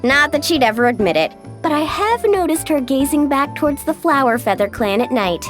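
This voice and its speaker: A woman voicing an upbeat, caring young tour guide in a video game, chatty and animated. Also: high voice, a little fast. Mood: chatty, reflective. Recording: background music.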